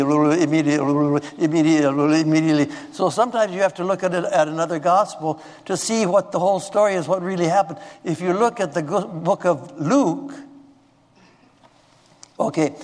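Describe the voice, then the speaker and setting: A man's voice: fairly high pitch, a little mellow. A man preaching a sermon in a conversational way, often restarting and repeating words and asking the audience questions. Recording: long reverb of a large hall.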